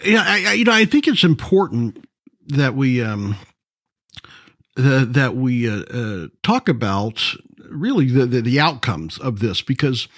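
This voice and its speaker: A man hosting a radio interview, talking haltingly with many restarts as he asks his questions. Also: medium pitch, very animated, fairly bright tone, no room sound, studio quality. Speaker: radio host.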